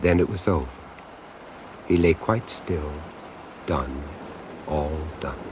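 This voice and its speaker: A man's voice: very deep voice, slow pace, noisy background. An older man narrating a horror radio drama in a grave, relishing tone.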